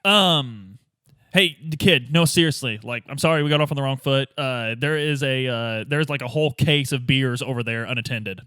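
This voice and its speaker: A man's voice: mid-range voice, normal speed, pushed and bright, smooth, no echo, studio quality. A man chatting casually as a player in an improvised tabletop role-play, often exclamatory and incredulous.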